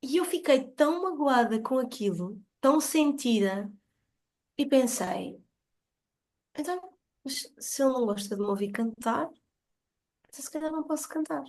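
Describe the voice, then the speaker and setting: A woman's voice: high voice, lively intonation, moderate pace, long pauses, darkish tone. A woman with a European Portuguese accent speaking as a podcast guest, explaining personal experiences in an earnest, emphatic, reflective way.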